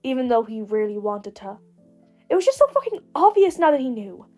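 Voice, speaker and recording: A woman narrates fiction in a high voice. Her delivery is scripted and expressive, with faint music behind it. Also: fairly quick. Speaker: podfic narrator.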